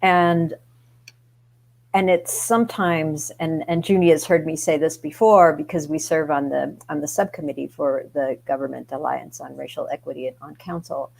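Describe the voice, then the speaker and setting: Woman, low gravelly voice, measured, council member, video call. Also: fairly even intonation, darkish tone. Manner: measured, repeats words as she thinks. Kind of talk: online book discussion.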